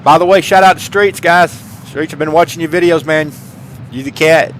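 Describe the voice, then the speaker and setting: A man's voice: a little high, normal speed, a bit bright. A man hosting a gaming channel chats casually to viewers in an earnest, folksy way, repeating phrases for emphasis. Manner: earnest, heartfelt. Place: indoors at home, paintings behind. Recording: clear background noise.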